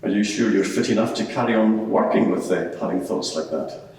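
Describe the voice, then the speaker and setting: A man's voice: low voice, fairly quick, darkish tone, natural voice. A man playing a formal lawyer who puts brief questions to a witness in court. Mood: questioning. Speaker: examining advocate. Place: large reverberant courtroom. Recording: echoey hall, distant, faint noise.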